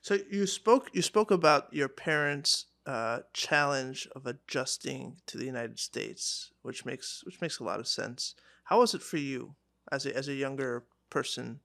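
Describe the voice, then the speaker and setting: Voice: medium pitch, lively intonation, a little slow, gravelly, a bit bright. A podcast host interviewing an older guest, who asks long questions and stumbles over restarts. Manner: prompting with short replies, stumbling restarts.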